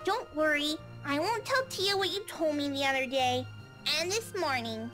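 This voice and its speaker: A woman's voice: high voice, lively intonation, moderate pace, a bit bright. A woman voicing a sweet, cheeky little girl in a visual novel game, childlike and coaxing. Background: prominent game music.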